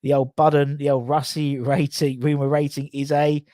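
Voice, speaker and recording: A man's voice: on the high side, flat delivery, normal speed, warm tone, no room sound. Chatty man, dry close mic. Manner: chatty, rambling, with fillers and asides. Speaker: fan channel host. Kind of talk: casual live-stream chat.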